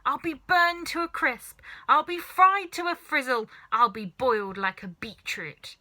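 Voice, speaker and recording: A woman's voice: sits high, a little slow, sharp tone, heavily acted. A woman voicing a cranky, bossy, wicked old grandmother from a children's storybook, snapping and scolding. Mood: alarmed, yelling. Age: elderly.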